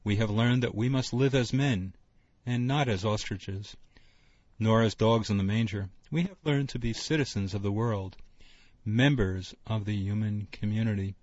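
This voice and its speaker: A man's voice: fairly low pitch, normal speed, darkish tone, no room sound. A man hosting a discussion panel, speaking in an earnest, reflective way. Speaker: host. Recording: dull lo-fi broadcast recording.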